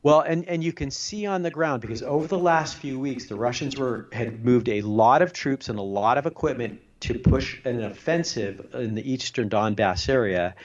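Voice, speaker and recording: A man's voice: mid-range voice, normal speed, hardly any pauses, dull audio. A middle-aged man who is a retired army intelligence officer, giving earnest political commentary as a radio guest and often restarting his sentences. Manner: earnest, stop-start, repeats words while thinking.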